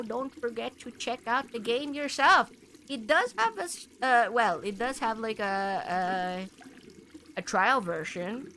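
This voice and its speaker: A woman game streamer chatting casually to her viewers in a rambling, halting way, full of filler words. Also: medium pitch, fairly bright tone, slight background noise. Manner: rambling, halting, punctuated with "like" and "you know". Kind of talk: casual livestream chat to viewers.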